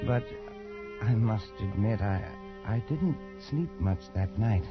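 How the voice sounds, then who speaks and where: A man's voice: lowish voice, lively intonation, takes it slowly. An actor playing a shaken, defensive colonial Englishman in a post-war radio drama, speaking in a hesitant, stammering way. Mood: haunted, narrating. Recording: dull vintage radio recording with music.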